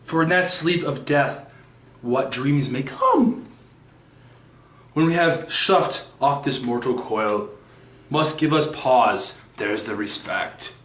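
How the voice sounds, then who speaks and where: Male, amateur player as despairing young prince in stage tragedy, slow halting verse recitation, echoey room. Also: mid-range voice, expressive, a bit bright. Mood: brooding. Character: brooding young tragic prince, contemplative and despairing. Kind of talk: halting recited Shakespeare soliloquy.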